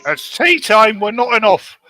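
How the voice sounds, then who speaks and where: A man's voice: high-pitched, lively intonation, moderate pace. A man co-hosting in joking group banter, with a mock-indignant, clowning manner. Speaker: co-host. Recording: music playing over the voice. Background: loud dramatic soundtrack music.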